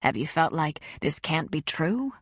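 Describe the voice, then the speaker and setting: A woman voicing a calm, patient counselor who asks gentle, probing questions. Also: low-pitched, unhurried, breathy edge, no echo, studio quality, exaggerated voice.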